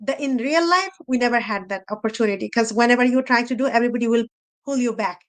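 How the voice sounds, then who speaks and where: A woman with a fairly high voice speaks off the cuff at a motivational panel. English is not her first language, and she is earnest, repeating herself and phrasing things loosely as she encourages her listeners. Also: quick pace. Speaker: host and speaker on a women entrepreneurs' live panel. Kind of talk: motivational talk, unscripted.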